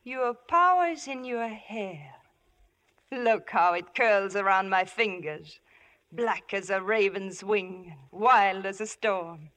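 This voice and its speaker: A woman's voice: mid-range voice, takes it slowly, a bit bright. A woman playing a seductive, possessive Philistine temptress in theatrical, intense 1950s radio drama style. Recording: vintage radio broadcast with music.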